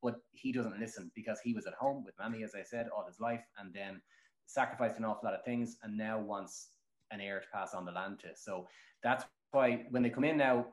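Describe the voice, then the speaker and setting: An Irish man answering interview questions as a matchmaker, in a colloquial, emphatic storytelling manner. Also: medium pitch. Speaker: matchmaker guest. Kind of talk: animated interview answers with anecdotes. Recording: roomy, echoing sound.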